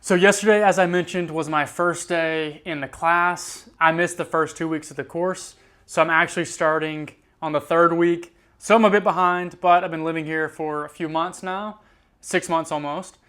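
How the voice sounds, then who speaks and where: An easygoing young man from the United States talks straight to camera about his week as a student. His voice sits a little high and comes across smooth and clear. He speaks with an earnest, unhurried manner, slowing down to repeat words he finds hard. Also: fairly even intonation, a bit bright. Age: young adult. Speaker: student vlogger learning a language. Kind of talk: casual vlog to camera.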